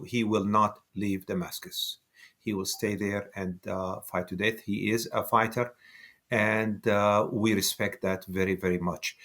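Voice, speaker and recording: A man's voice: mid-range voice, fairly bright tone. A man in his sixties from Syria, a native Arabic speaker with a Syrian accent, answering as an interview guest and often repeating words as he searches for the next. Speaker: interview guest, professor and activist.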